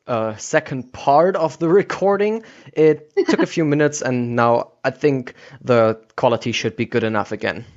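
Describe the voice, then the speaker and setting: Male podcast host, mid-range voice, casual conversational interviewer, slightly muffled call-quality recording. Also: mid-range voice. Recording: dull lo-fi internet call audio.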